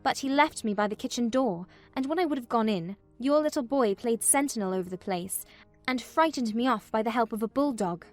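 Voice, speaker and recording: A woman voicing a despairing, proud and weary young gentlewoman who tells her story expressively in a dramatized novel reading. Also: on the high side, animated, brisk, soft background music, natural voice. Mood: indignant. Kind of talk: dramatized audiobook narration of a classic novel, in first person.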